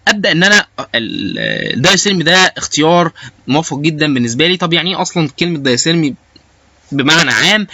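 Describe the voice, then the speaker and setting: A man's voice: on the high side, sharp tone, dry recording, dull audio. A man with an Egyptian Arabic accent giving an informal, explanatory lecture, dropping English technical terms into his speech. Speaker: lecturer, biomedical engineering instructor.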